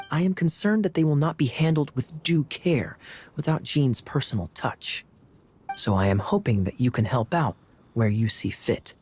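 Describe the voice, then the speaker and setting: A man's voice: medium pitch, a little hiss or hum, close to an everyday voice. A man voicing a suave, scheming knight captain. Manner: smooth, wry, soft chuckles, courtly phrasing. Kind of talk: game voice acting.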